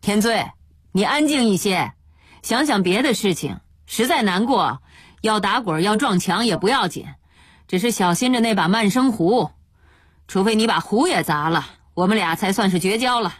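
A woman narrator voicing a calm, stern male friend who gives steady admonishing advice. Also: high-pitched, normal speed, slightly rough, no room sound, studio quality, full character voice. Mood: firm reassurance.

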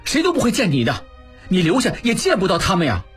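Audiobook role: level-headed male companion, acted by a narrator, reasoning and insistent, expressive pitch, light music. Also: fast. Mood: firm, dissuading. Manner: reasoning, emphatic. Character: level-headed, persuasive man advising his companion.

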